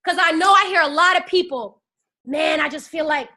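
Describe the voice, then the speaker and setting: A woman's voice: high voice, normal speed, sharp tone. A woman in her twenties giving a fiery, preachy motivational pep talk into a phone and rallying her listeners with call-and-response. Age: 20s. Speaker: network marketing team leader.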